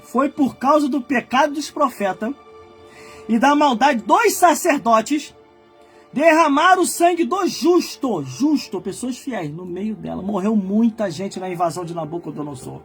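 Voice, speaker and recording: High-pitched preaching man, shouting. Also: animated, measured pace, husky, faint music behind. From Brazil. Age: 40s. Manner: swings from low muttering asides to high shouted preaching. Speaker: pastor. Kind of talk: fiery Pentecostal-style sermon.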